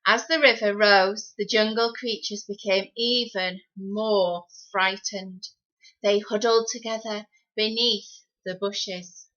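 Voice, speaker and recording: A woman reading a children's story expressively, with deliberate, dramatic phrasing. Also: mid-range voice, sharp tone. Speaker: storybook reader. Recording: slightly dull, lo-fi sound.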